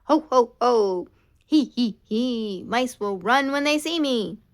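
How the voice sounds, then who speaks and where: Storybook pumpkin, played by a woman: little, proud, gleefully fierce, jolly and boastful, very animated pitch. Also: slow pace. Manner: booming jolly ho-ho laughter, boastful. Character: small round proud pumpkin, gleefully fierce, childlike male. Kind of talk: storybook character voice.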